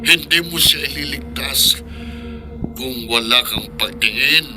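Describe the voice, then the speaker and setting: A man's voice: mid-range voice, unhurried, music in the background, lightly acted. A Filipino man playing a stern, threatening middle-aged father who is a monster in a horror radio drama. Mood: menacing, accusing. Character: menacing, overbearing father who turns into a manananggal monster.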